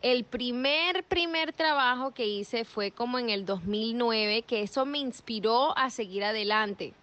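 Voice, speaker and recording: A young adult woman from Venezuela talks as an actress guest in an interview. Her voice sits a little high and she speaks in an animated way, often restarting and repeating words as she goes. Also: measured pace, highs cut off. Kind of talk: interview answers.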